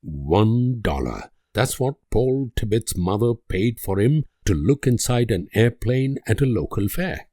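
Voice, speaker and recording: An actor playing an idealistic, world-weary diplomat, delivering grave, deliberate speeches and letters in a strategy game. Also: male voice, fairly low pitch, lively intonation, warm tone, no echo, studio quality. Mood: bleak sorrow.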